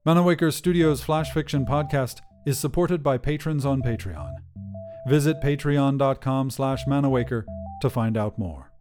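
A man's voice: medium pitch, animated, normal speed, music in the background. A man reading scripted material as the narrator of a fiction podcast.

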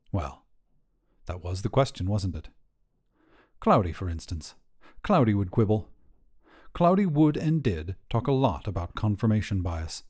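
A man reading short fiction aloud as a narrator, in an expressive scripted storytelling style. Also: on the low side.

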